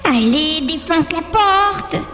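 A woman's voice: very high-pitched, animated, takes it slowly, fairly bright tone, faint music behind, full character voice. A woman playing a frightened girl protecting her brother in an amateur horror audio drama. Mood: urgent.

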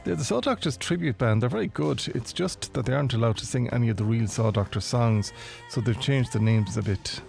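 A man's voice: medium pitch, brisk, vocal fry, a little mellow, faint music behind. An Irish man playing a self-important, put-upon late-night love-song radio presenter in a comedy radio play, bossy and dry in his delivery.

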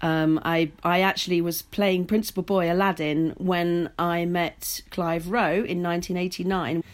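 Middle-aged woman, British accent, fairly low pitch, chatty interview guest, stammering restarts. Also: flat delivery, measured pace. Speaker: guest, pantomime writer and director. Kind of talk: casual interview chat.

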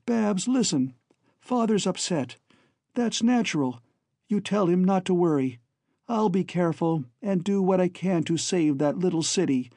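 A man's voice: high voice, lively intonation, a little slow, warm tone, no echo, clean studio sound, voice pushed up, heavily acted. A man voicing an earnest, devoted young man in an emotional, pleading audiobook dialogue. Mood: reassuring. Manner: choked, emotional, pleading delivery. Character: earnest, devoted young man bidding his family farewell.

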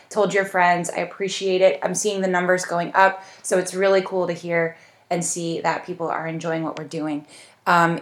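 A woman's voice: on the low side, a bit bright. A woman hosting a book podcast, chatting in a friendly, upbeat way with a guest and signing off the show. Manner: friendly, upbeat, laughs often. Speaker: podcast host. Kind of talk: casual interview chat.

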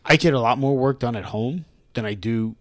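Male podcast co-host, loud and jokey, mid-pitch, dry booth sound. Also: normal speed, no echo. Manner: jokey and chatty, laughs often. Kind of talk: casual chat.

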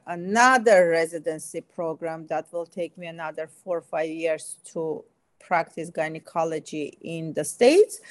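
A woman's voice: on the low side, dry recording. A middle-aged woman from Armenia with an Armenian accent, answering as an interview guest in an enthusiastic, emphatic way. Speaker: guest, aesthetic medicine physician.

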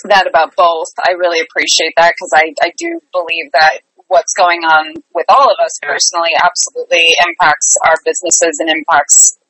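A woman with a fairly low but bright, forward voice talks casually in an interview. She is chatty and upbeat, and the sound is close and dry. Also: brisk, hardly any pauses, no echo, poor audio. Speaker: theater company artistic director, guest.

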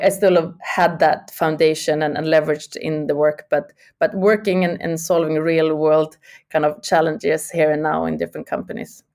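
A woman's voice: low-pitched, vocal fry, a little mellow. A middle-aged woman from Sweden, a podcast guest talking thoughtfully with many fillers and restarts. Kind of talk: podcast interview.

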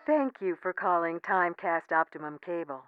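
A woman's voice: fairly low pitch, expressive, moderate pace, natural voice. Very noisy muffled phone line, automated female menu voice acted by a woman, polite, formulaic, audio comedy. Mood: bland. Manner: formulaic, scripted.

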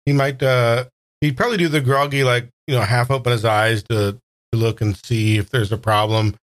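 A man who is a player in a tabletop game, casually describing his character's actions in short, halting phrases. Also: mid-range voice, fairly even intonation, no room sound.

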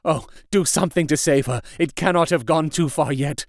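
A man's voice: a little high, normal speed, dry recording, studio quality, pitched above the performer's own voice, close to an everyday voice. A man voicing a desperate, horrified husband in a gothic audiobook, crying out urgently and begging for help. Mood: desperate pleading. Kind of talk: dramatic gothic audiobook character voice.

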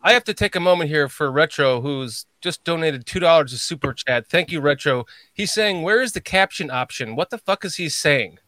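A man's voice: on the high side, animated, moderate pace, few pauses, bright, forceful tone, no room sound. A man hosting a podcast, reading out viewer messages and teasing his guest. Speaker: podcast host. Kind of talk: casual chat, reading viewer chat.

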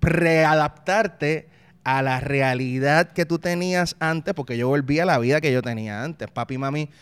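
Smooth-voiced Puerto Rican man, reflective. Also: on the high side, moderate pace, no room sound. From Puerto Rico. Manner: reflective, frequent restarts and repeated words; uses local slang. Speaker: interview guest. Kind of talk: casual conversational interview.

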